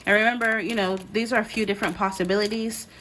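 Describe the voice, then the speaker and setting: A woman's voice: mid-range voice, fairly even intonation, fast, a bit bright, a little hiss or hum, low-quality recording. A woman from the United States and Ghana, giving a casual, conversational card reading as she speaks directly to her listeners. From born in the United States, grew up in Ghana. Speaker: host, tarot card reader.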